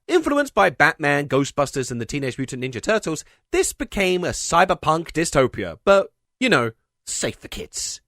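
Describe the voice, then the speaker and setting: A man hosting a video essay, delivering scripted comedic narration in a wry way with deadpan asides. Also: fairly high pitch, no echo. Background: music under the voice.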